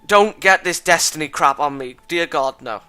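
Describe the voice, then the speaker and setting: A man's voice: fairly high pitch, expressive, moderate pace, bright, forceful tone, clear, resonant tone. A man reading a visual novel aloud for a let's play, breaking in with joking, exasperated asides over faint music.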